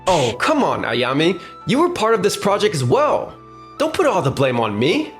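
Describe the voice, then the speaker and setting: A man's voice: high-pitched, huge pitch swings, moderate pace, soft background music, full character voice. A man playing an earnest young businessman in a romcom manga dub, with explanatory scripted delivery. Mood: defensive.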